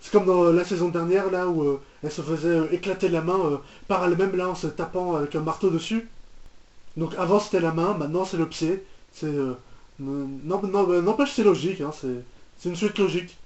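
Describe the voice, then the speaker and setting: A young man giving casual reaction commentary in a halting, incredulous manner. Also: sits high, darkish tone, lo-fi sound. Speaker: reaction video host.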